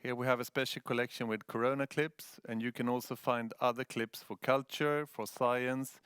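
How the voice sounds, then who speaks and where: A man's voice: medium pitch, fairly even intonation, normal speed, fairly bright tone, smooth, no room sound, clean studio sound. A man from Sweden with a Swedish accent giving a prepared presentation as a news editor.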